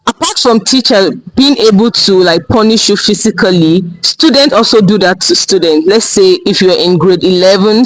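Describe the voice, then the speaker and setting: A young man with a Nigerian accent interviewing a guest in a relaxed, chatty way, often saying "like.". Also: high voice, dry recording, poor audio. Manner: relaxed, chatty questions with frequent "like" fillers. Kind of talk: casual interview.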